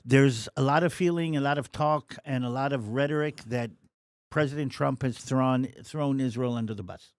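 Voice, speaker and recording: A man's voice: mid-range voice, moderate pace, dry recording, clean studio sound. A male co-host on a live show, explaining news with many restarts and hesitations. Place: studio.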